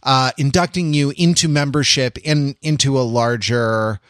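A man co-hosting a podcast, talking casually and analytically in a halting, rambling, intellectual way with wry amusement. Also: mid-range voice, clean tone, dry recording, clean studio sound. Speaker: podcast co-host.

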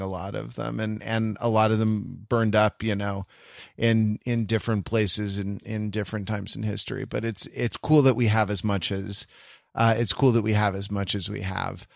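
A man's voice: on the low side, steady tone, moderate pace, creaky, a bit bright, dry recording. Casual, bookish man with a dry sound. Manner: jokey, digressive, bookish. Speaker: podcast co-host. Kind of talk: casual bantering podcast chat.

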